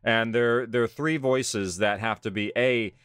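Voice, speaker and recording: A man's voice: mid-range voice, steady tone, unhurried, a bit bright, clear, resonant tone, no room sound, studio quality. A man speaking as an audio drama director in an interview, earnestly explaining his work in a conversational way.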